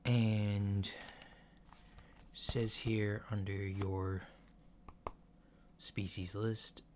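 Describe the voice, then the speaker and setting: A man acts out a courteous alien doctor for an ASMR checkup. The voice is soft and fairly low, moving very slowly through methodical questions with hesitant, reassuring pauses. Noise is clearly audible behind the lo-fi recording. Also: flat delivery. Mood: calm, reassuring. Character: polite, unhurried human-looking alien doctor giving a checkup. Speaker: ASMR performer. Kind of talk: soft-spoken ASMR roleplay.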